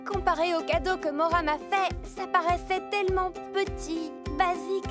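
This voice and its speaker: A woman voicing a cheerful, caring young sea-kingdom girl in a cartoon dub, expressive and earnest. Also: very high-pitched, moderate pace. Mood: earnest, worried. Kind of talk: French cartoon dubbing. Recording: music behind the voice.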